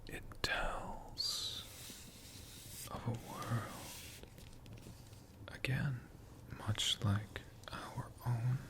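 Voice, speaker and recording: Very slow whispering ASMR storyteller of unclear sex. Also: medium pitch, steady tone. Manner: hushed, drawn-out delivery with long pauses and repeated words.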